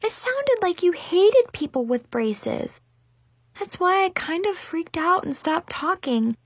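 Woman playing a shy, high-voiced anxious schoolgirl. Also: lively intonation, measured pace, no echo. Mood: hurt, anxious. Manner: sniffly, hesitant. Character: shy, anxious, insecure schoolgirl with new braces.

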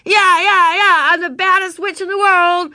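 A woman plays a rowdy little girl dressed as a witch in a storybook. She yells in a high, bright voice, full of gleeful menace. The sound is dry and close. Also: level tone, normal speed, no room sound, full character voice. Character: boisterous little girl playing a masked witch.

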